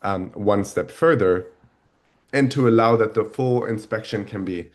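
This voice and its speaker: A man with a thick German accent hosting a product webinar over a video call, talking in a halting way with many restarts. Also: on the low side. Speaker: webinar host. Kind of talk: product demo webinar talk.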